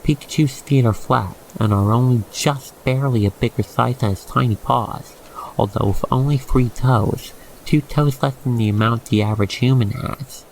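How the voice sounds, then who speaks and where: A man's voice: mid-range voice, normal speed, gravelly, a little mellow, a little hiss or hum, low-quality recording. A man narrating an ASMR roleplay, telling the story theatrically and speaking directly to the listener.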